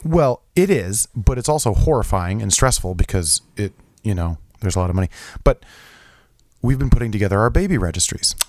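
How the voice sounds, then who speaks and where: A young man with a lowish, slightly hoarse voice, still getting over a recent illness. He talks in an animated, rambling way, full of fillers and restarts. The recording is close and dry, with no room sound. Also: fairly quick, dry recording. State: low, hoarse after being sick. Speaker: podcast co-host. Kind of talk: casual chat.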